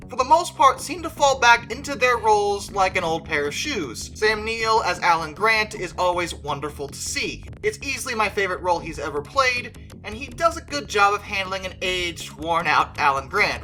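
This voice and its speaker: A woman's voice: mid-range voice, sharp tone, low-quality recording. A woman talking conversationally through an opinionated fan review, with emphatic stress on key words. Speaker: video creator reviewing films as a fan.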